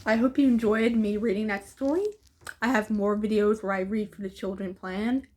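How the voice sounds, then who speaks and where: A woman's voice: mid-range voice, fairly even intonation, normal speed, darkish tone. A woman reading a children's storybook aloud as an amateur reader.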